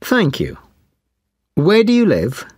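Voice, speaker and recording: A woman playing an examiner in a speaking test, asking each question slowly and clearly. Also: fairly low pitch, wildly swooping pitch, darkish tone, no echo, clean studio sound. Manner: slow, clearly spaced questions. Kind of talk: scripted exam interview.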